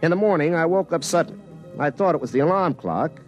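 A man acts out an excitable small-town phone repairman in a vintage radio play. His pitch swings widely as he moves between wry, folksy storytelling and flustered protest. The old recording carries faint music. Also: quick pace, lo-fi sound, natural voice. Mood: wry, rueful. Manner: folksy, wry narration turning to flustered, stammering pleading. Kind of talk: classic radio drama acting with first-person narration.

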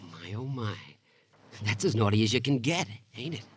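An actor playing an arch, nosy American man who relishes scandal, with a husky, teasing delivery in a 1950s radio drama. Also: fairly low pitch, very animated, normal speed. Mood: teasing, relishing. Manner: mannered, teasing, sly.

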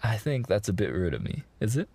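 A man plays a young mafia man courting his fiancée in an intimate ASMR roleplay. His voice sits on the low side. He talks in wiseguy slang, earnest and a little hesitant, reassuring and protective toward the woman he adores. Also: sing-song, brisk, vocal fry, dark tone, breathy edge, full character voice. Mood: tender, hesitant. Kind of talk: boyfriend ASMR roleplay acting.

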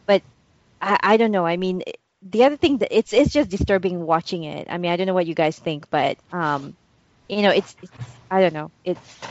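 A woman from Singapore talks in a lowish voice, hesitant and often restarting her sentences. The sound is dry and dull. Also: moderate pace, darkish tone, no room sound. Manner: hesitant, many restarts and fillers. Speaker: podcast co-host. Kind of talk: casual chat.

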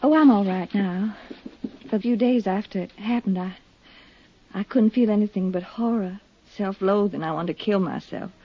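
A woman's voice: medium pitch, normal speed, breathy edge. An actress playing a poised, earnest, grieving young woman in a 1950s radio drama, confiding yet firm. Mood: wistful, earnest. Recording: dull, dry 1950s radio recording.

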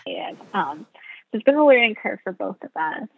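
A woman's voice: mid-range voice, animated, moderate pace, creaky, breathy edge. A woman whose first language is Spanish, a guest in a casual interview who rambles and thinks aloud. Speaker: guest, mother. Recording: thin phone-like line.

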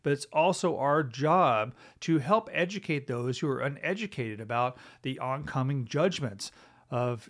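Gravelly middle-aged man teaching scripture. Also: medium pitch. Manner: conversational, thinking aloud with frequent "uh" and "right?" tags. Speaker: podcast host and Bible teacher. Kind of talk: casual Bible teaching podcast.

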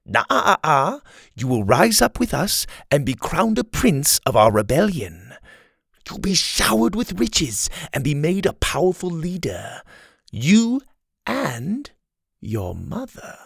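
A man voicing a smug scheming male rebel leader who taunts in a high, theatrical tone. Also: wildly swooping pitch, takes it slowly, creaky, breathy edge, dry recording, clean studio sound, heavily acted. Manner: gloating, theatrical, high mocking tone.

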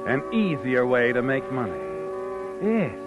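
A man's voice: mid-range voice, very animated, measured pace. A man playing a sly, all-knowing narrator in 1940s radio suspense style, needling a schemer in the second person with knowing questions. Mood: insinuating. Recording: muffled old radio broadcast, music behind.

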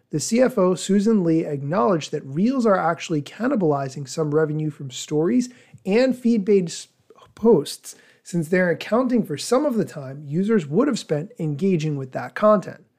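A man hosting a news podcast, reading a scripted report and quotes in a measured, explanatory way. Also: high-pitched, vocal fry, a little mellow. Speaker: podcast host. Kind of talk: scripted news narration with commentary.

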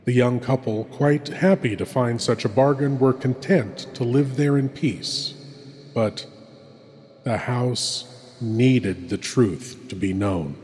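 A man's voice: medium pitch, slow, a little mellow, lightly acted. A man playing a solemn, ceremonious horror host, narrating theatrically. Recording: long reverb, faint background noise.

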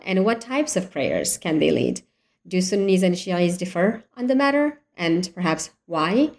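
A woman hosting a podcast, reading an introduction and then warmly greeting her guest. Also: medium pitch. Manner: enthusiastic, effusive. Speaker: podcast host.

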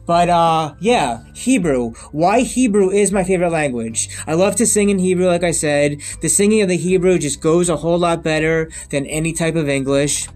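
A man's voice: on the high side, normal speed, hardly any pauses, a little mellow. A man in his thirties talking straight to his viewers in a loose, rambling monologue, circling back and restarting often. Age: 30s. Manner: rambling and repetitive, with many restarts and emphatic lists. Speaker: vlogger. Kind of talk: unscripted monologue to camera.

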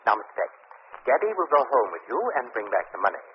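Unctuous villain, male actor: genteel, smugly menacing, very animated, muffled old-time radio drama sound. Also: medium pitch, quick pace, a little hiss or hum, lightly acted. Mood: coolly menacing. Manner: overly courteous, theatrical, unctuous. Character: suave, cadaverous male crime boss, mockingly genteel. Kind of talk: 1940s radio acting.

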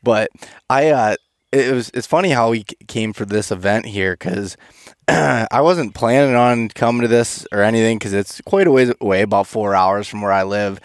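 A man's voice: on the low side, clear, resonant tone, dry recording. Easygoing man in his late twenties, dry sound. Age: late 20s. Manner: easygoing, casual, many restarts. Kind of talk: casual podcast chat.

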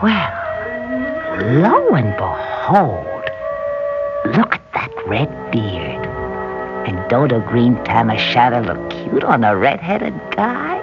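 An actress playing a brash, lively young 1940s woman with a slangy, wisecracking way of talking in an old radio drama. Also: a bass voice, slow pace, exaggerated voice. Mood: teasing, concerned. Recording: dull vintage radio recording, faint music.

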